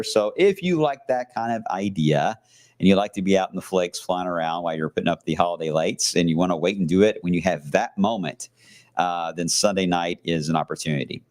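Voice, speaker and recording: A man's voice: deep voice, a little mellow. A man giving a live TV weather forecast from a shopping outlet, in a loose, chatty way with fillers and asides. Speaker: TV meteorologist.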